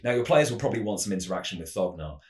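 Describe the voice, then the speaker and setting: A man narrating a fantasy tabletop adventure tutorial as a game master, with measured, dramatic storytelling phrasing. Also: lowish voice, expressive, darkish tone, reverberant. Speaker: host, game master giving adventure advice.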